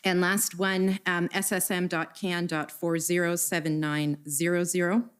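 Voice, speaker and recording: A woman questioning a witness at a formal hearing, reading out document numbers and quoted messages in a measured way. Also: fairly low pitch, flat delivery, clean tone. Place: hearing room.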